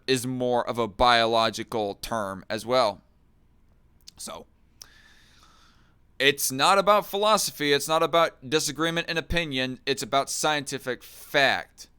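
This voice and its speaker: A man on a livestream who sounds bright and forceful, with a very clean, resonant voice. He argues and mocks in crude, casual commentary. He reads chat comments aloud as he goes. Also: mid-range voice, smooth. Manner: crude, mocking, argumentative. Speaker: livestream host.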